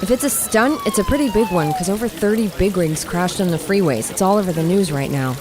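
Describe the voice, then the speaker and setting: A woman playing a bossy, nosy matriarch in a radio drama, brisk and questioning. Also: medium pitch, animated, a crowd in the background, close to an everyday voice. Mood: alarmed.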